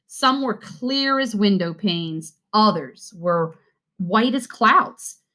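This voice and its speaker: A woman narrates a children's storybook at an unhurried pace. Her pitch moves up and down in a lively way, and each phrase is delivered deliberately and expressively for young listeners. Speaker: read-aloud reader.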